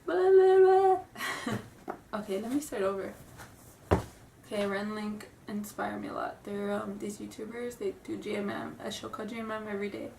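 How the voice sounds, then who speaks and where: A woman's voice: mid-range voice, normal speed, creaky, warm tone, a little hiss or hum. A young woman who sounds stuffy from a cold, chatting nervously and rambling in a casual vlog. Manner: nervous, rambling, apologetic, giggly. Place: room at home. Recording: roomy, distant home camera audio.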